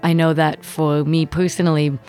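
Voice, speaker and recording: A woman's voice: low-pitched, flat delivery, normal speed, a little mellow. A woman with a North American accent, a touring musician reflecting on her experiences in a personal storytelling style. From Ontario, Canada. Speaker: touring violinist and songwriter. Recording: music bed under the voice.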